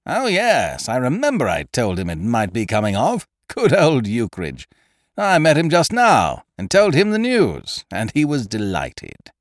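A man voicing a cheerful, excitable male government official who speaks with eager fervour. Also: medium pitch, measured pace, no echo, studio quality, pitched above the performer's own voice. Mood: delighted. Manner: fervent, eager.

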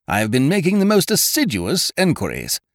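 A booming, breezy chancer from a comic storybook, acted by a male narrator. He is hearty and emphatic, pitched up and swooping widely as he smooth-talks his listener. The sound is close and dry. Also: huge pitch swings, brisk, no echo. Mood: eager, reassuring. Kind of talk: humorous audiobook character dialogue.

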